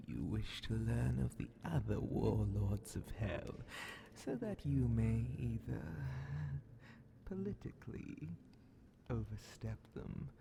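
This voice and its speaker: A man voicing a sly, menacing demon grand vizier in an ASMR fantasy horror roleplay, mockingly polite and theatrical, often dropping into a breathy whisper. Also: fairly low pitch, background noise. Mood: sly, measured. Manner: slow, theatrical, condescending, half-whispered menace.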